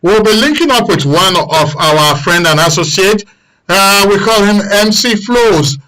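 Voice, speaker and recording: A Nigerian man hosts a live radio phone-in with a bright, forceful voice pitched fairly high. He is courteous and brisk, steering callers and guests along. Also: lively intonation, poor audio. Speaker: radio and TV host. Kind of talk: live current-affairs phone-in hosting.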